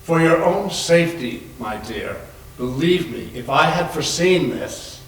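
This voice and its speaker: A man's voice: fairly high pitch, lively intonation, measured pace, fairly bright tone. Man acting a firm, fatherly doctor, very echoey. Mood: insistent. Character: gentle but firm paternal doctor. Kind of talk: readers theater, dramatic reading. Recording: very echoey hall, distant mic, noisy.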